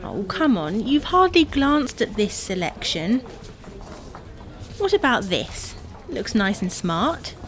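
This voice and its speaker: Woman acting a high-voiced exasperated nagging wife. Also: very animated, slow. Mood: impatient. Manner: bossy, scolding, sarcastic. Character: exasperated, nagging wife, practical and image-conscious. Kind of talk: domestic comedy audio drama acting.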